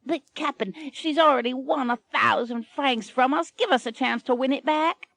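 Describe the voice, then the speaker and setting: A woman's voice: sits high, normal speed, vocal fry, a bit bright, no echo, voice pushed up. A woman voicing a sulky male pirate crewman who pleads in a whiny tone. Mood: whining.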